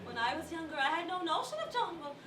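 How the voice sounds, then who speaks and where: A woman acting in a stage play as a gentle, romantic young wife, animated and sentimental. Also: very high-pitched, fast, exaggerated voice. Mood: wistful, amused. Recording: echoey theatre, distant mic, noisy.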